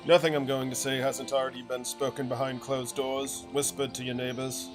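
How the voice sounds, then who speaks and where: A man voicing an impassioned village rabble-rouser in a video game, declaiming to a crowd in emphatic, measured phrases. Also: medium pitch, flat delivery, music in the background, natural voice. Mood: fervent, defiant. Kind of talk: acted reading of video game speech. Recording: clearly noisy game ambience behind voice.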